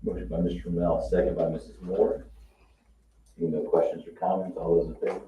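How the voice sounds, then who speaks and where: A man's voice: deep voice, little pitch movement, fairly quick, mellow, slight background noise. A man chairing a public meeting as council president, calling votes and putting questions in procedural language. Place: indoor council meeting room. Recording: echoey, distant, dull meeting-room audio.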